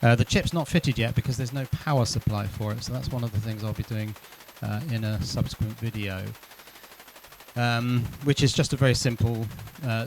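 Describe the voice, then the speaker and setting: A man's voice: medium pitch, a little hiss or hum. A man presenting an informal hands-on electronics demonstration, thinking aloud and correcting himself as he explains.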